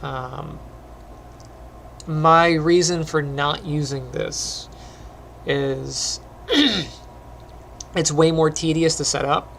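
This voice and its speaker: Middle-aged male teacher, gravelly and phlegmy, rambling casual tutorial delivery at a desk mic, slightly higher voice, faint hiss behind. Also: drawn-out words, long pauses. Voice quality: phlegmy, clears throat often. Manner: relaxed, rambling, many ums. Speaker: animation teacher. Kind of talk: casual screen-recorded tutorial chat.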